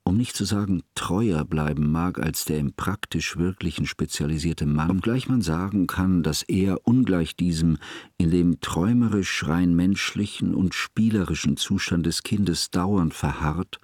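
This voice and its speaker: A scholarly, devoted friend as narrator, with a very deep and grainy voice. He speaks solemn, formal in a literary radio play. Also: a little mellow, no echo, studio quality. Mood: grave, moved. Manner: formal, slow-weighing, solemn. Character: grave, scholarly male narrator, devoted friend looking back.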